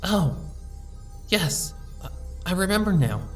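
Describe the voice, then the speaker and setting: A woman voicing a timid, sick young female pony who speaks softly and stammers as she pleads. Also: lowish voice, big pitch swings, slow pace, far from the mic, faint music behind, full character voice. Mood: timid, shaky. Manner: soft, hesitant, stammering, pleading. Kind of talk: fan audiobook reading.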